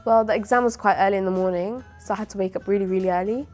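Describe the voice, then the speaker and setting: A woman's voice: medium pitch, steady tone, very fast, darkish tone. A woman from England with a mid-range voice, a medical student telling her story casually with many fillers. Faint music plays underneath. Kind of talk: interview answers.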